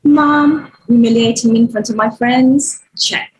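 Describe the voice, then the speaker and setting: A woman's voice: on the high side, measured pace, a little hiss or hum, lightly acted, low-quality recording. A woman acting an exasperated, sarcastic young adult daughter at a script table read. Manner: dry sarcasm, dramatic.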